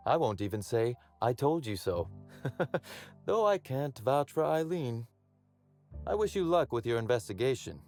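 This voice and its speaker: An actor playing a polished underworld boss in a video game, speaking formally and articulately with wry menace. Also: male voice, mid-range voice, a little mellow. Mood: wry, composed. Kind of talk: video game character dialogue.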